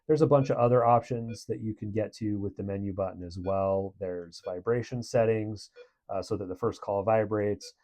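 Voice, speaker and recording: A man's voice: fairly low pitch, normal speed, a little mellow. A man giving a casual product review in a conversational, approving way.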